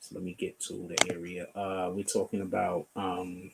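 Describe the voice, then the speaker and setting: A man's voice: deep voice, monotone, moderate pace, gravelly, heavy background noise. Laid-back profane man from the Bronx. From the Bronx, New York. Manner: loose, profane, talks while clicking through pages. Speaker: streamer host. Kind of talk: casual commentary on news.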